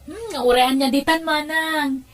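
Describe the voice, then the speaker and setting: A woman playing a sweet, busy younger sister talking softly and fondly in an Ilocano radio drama. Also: sits high, measured pace.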